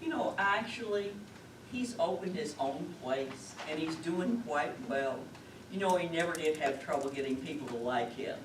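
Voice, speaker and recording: A woman's voice: sits low, animated, moderate pace. Woman acting a dry older sister, echoey stage. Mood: dry, resigned. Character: long-suffering, worried older sister, woman with grown son. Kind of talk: amateur stage play dialogue. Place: lakeside community theater stage, picked up from afar. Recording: distant echoey stage recording, clear noise.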